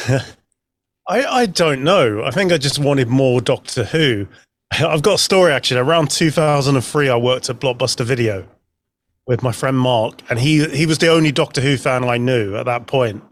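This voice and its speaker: A man's voice: medium pitch, normal speed. A man chatting casually and anecdotally as a podcast guest.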